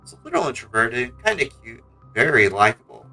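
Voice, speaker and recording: Casting: male reader as chatty older lady cello shopkeeper in a game, bright tone, faint music behind. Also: medium pitch, sing-song, brisk, heavily acted. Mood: confiding, fretful. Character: chatty, aging female floating cello, music shop keeper. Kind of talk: reading game dialogue aloud.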